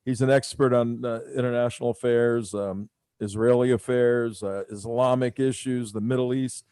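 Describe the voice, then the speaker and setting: A man's voice: medium pitch, fairly even intonation, normal speed, a little mellow, clear, resonant tone, no echo, studio quality. A man hosting a radio talk show, speaking casually and rambling with many fillers.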